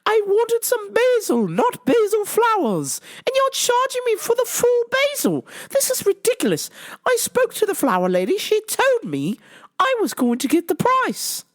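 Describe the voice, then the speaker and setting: A woman voicing an indignant, entitled shopper who whines high and fast about being overcharged. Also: wildly swooping pitch, exaggerated voice. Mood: outraged whining. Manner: whiny, indignant, high-pitched. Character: shrill entitled middle-aged woman customer complaining.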